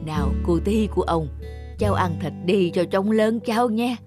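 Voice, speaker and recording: A woman's voice: mid-range voice, moderate pace, close to an everyday voice. A woman voicing a frail, tender old grandfather in a children's cartoon, speaking in an earnest, doting way. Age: older. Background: music.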